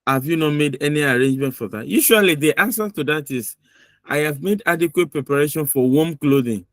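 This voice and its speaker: A man's voice: fairly high pitch, brisk, dry recording, studio quality. A man running a mock visa interview on a video call, giving firm, lecturing feedback and repeating his points for emphasis.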